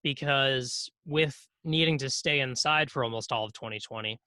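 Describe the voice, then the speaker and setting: A man's voice: mid-range voice, normal speed, bright, forceful tone, no room sound. A man co-hosting a podcast in casual comedic chat, rambling and hesitant, with dry jokes. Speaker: podcast co-host.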